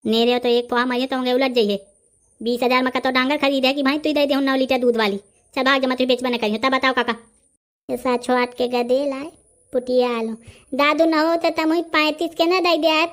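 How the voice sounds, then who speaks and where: Performer playing a cartoon village man, high voice, Bundelkhandi accent, blustering, quarrelsome haggler. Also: steady tone, fairly quick, fairly bright tone. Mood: boastful, haggling.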